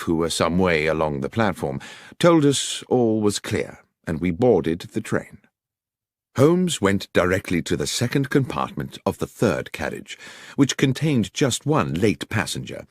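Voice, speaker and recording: A male narrator reads scripted fiction dramatically. His voice sits fairly low and moves expressively up and down, recorded close with hardly any room sound. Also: no room sound. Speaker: audiobook narrator.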